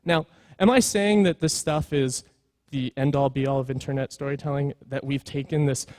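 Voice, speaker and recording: A man's voice: mid-range voice, fairly even intonation, normal speed, darkish tone, no room sound. A man presenting at a conference in an informal, earnest storytelling way, with emphatic points. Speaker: conference speaker, creative executive.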